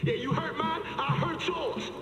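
High-pitched, taunting gang boss. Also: male voice, measured pace. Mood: furious, taunting. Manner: mocking, swaggering, profane. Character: menacing, taunting male gang boss and ex-soldier. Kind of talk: video game voice acting. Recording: echoey hall, loud music over voice.

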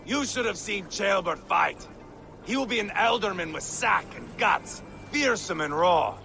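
Brief: hot-headed Viking warlord, gravelly and bright-edged, crude insults, game cutscene. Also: male voice, high-pitched, animated, unhurried, slight background noise, full character voice. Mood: scornful. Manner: mocking, crude, growling insults. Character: brash scornful Viking chieftain, crude and hot-tempered. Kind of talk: video game cutscene acting.

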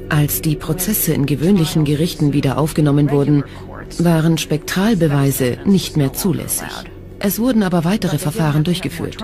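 A woman speaking a scripted voice-over for a documentary. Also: sits low. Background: background music.

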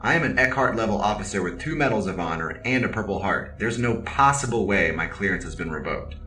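A stoic, battle-hardened military officer in an audio drama. The voice is low and deadpan, every answer terse and literal, sounding roomy and set back from the mic. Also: fairly quick, recorded from a distance, faint music behind. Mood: firm, grave. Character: stoic, deadly, loyal veteran military officer.

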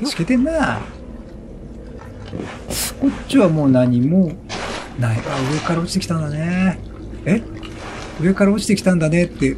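A man giving excited game commentary, exclaiming and repeating words rapidly as he reacts. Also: fairly high pitch, background noise. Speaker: let's play gamer. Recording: game music behind the voice. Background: video game music.

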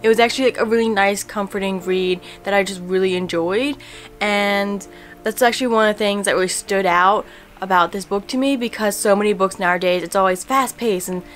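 A young woman chats about her favorite books in a relaxed, rambling way, full of fillers. Her voice sits in a middle range for a woman. She is recorded at home on a camera a short way off, with soft music underneath. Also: mid-range voice, a little fast. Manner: chatty and relaxed, many fillers. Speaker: book vlogger. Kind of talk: casual book tag vlog. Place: home room in front of bookshelves.

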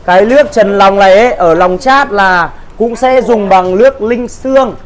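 A man's voice: high voice, normal speed. A man presenting his restaurant's dishes to friends in a casual food vlog, chatty and explaining as he goes. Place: busy restaurant kitchen, indoors. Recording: crowd chatter in a kitchen. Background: crowd talking.

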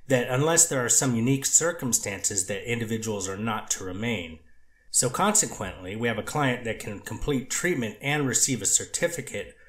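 A man voicing a treatment counselor testifying, cautious and halting, with clinical wording. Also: mid-range voice, fairly bright tone, roomy sound, close to an everyday voice. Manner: hesitant, restarts, clinical wording. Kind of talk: courtroom transcript reading.

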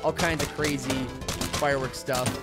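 Young male gaming host, slightly hoarse, playful and jokey, breaks into yelling; game music heavy behind him. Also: mid-range voice, fairly even intonation, moderate pace. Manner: goofy, jokey, bursts into loud yelled shouts. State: slightly hoarse from losing his voice. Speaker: gaming commentator. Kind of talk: casual gameplay commentary. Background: video game music.

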